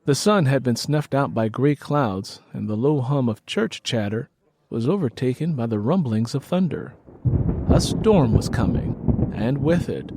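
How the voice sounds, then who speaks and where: A man's voice: mid-range voice, noisy background. A man reading a scripted story as an audiobook narrator, in a dramatic storytelling style.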